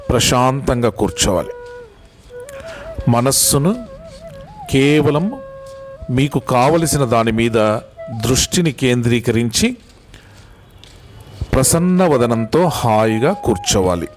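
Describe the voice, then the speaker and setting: A man leads a guided meditation at an unhurried, slow pace. His pitch sits in the middle range, and he gives calm, deliberate instructions with repeated phrases and long pauses. Faint music plays behind him. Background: music bed.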